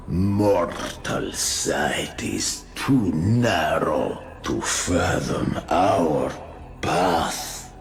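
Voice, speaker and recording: An ominous, grandiose otherworldly man in a video game. The voice is extremely low and thick with gravel, swinging theatrically in pitch as it delivers slow, solemn menace over music. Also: big pitch swings, exaggerated voice. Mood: disdainful. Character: ominous, grandiose otherworldly male cult leader above humanity.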